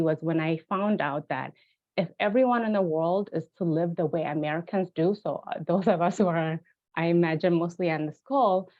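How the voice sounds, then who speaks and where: Woman from ethiopia presenting on a video call, low-ish voice, tinny phone-like sound, lots of ums. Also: a little mellow. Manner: earnest, many fillers and restarts. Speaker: webinar panelist, practitioner and researcher. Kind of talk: presenting a talk.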